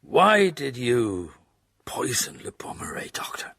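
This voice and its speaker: An actor playing a shrewd male chief inspector in a radio drama, with a gravelly husky voice and measured, dry delivery. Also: mid-range voice, very animated, a bit bright, no room sound, in a whisper, full character voice. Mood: wry, confessing.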